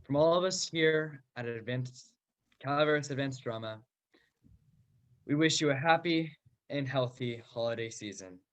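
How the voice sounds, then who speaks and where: A man's voice: mid-range voice, moderate pace. A man giving a scripted sign-off as narrator of a radio play. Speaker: narrator signing off for a student drama group. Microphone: video-call microphone.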